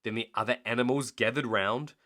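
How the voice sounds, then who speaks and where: A man reading a children's bedtime story aloud in an expressive, measured, rhythmic way. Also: medium pitch.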